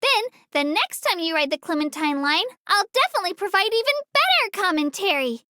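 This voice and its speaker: A little female creature working as a ferry tour guide in a fantasy video game. The voice is cute, girlish and extremely high, with animated, swooping intonation. It is eager, polite and full of childlike enthusiasm, captured close and dry. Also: fairly quick, dry recording, heavily acted. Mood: cheerful, hopeful. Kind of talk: scripted video game character narration.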